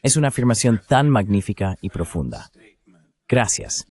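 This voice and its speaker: Animated male moderator, slightly low voice. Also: moderate pace. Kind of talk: moderating a panel discussion.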